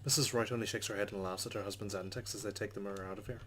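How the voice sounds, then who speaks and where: A man's voice: lowish voice, fairly even intonation, rapid-fire, noisy background. A man hosting a let's play, reading game text aloud and adding casual commentary.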